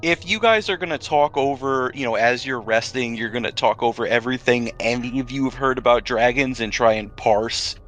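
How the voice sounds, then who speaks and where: A man narrating a tabletop role-playing game as game master, with deliberate, descriptive storytelling and pauses. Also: mid-range voice, a bit bright.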